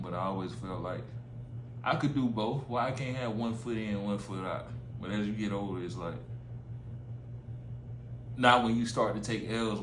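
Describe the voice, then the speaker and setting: A man, a vlogger and small business owner, talking in a laid-back, reflective way and repeating filler phrases. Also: deep voice. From Orlando, Florida. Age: 30s. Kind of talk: casual reflective monologue. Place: relaxed spot, drinking a beer. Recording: echoey room with background noise.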